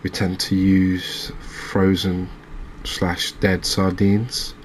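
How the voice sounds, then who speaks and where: A man with a low-pitched voice narrates his gameplay in a soft ASMR style. His delivery stays very even, musing along in an unhurried, relaxed way with pauses. Faint ambient noise runs behind him. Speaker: gaming video host. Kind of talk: soft-spoken ASMR game commentary.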